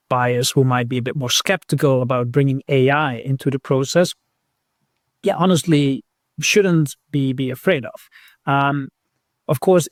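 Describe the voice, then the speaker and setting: A man giving conversational interview answers as a podcast guest, in a measured, hesitant way as he thinks aloud. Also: medium pitch, no room sound, clean studio sound. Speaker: podcast guest, recruitment technology professional.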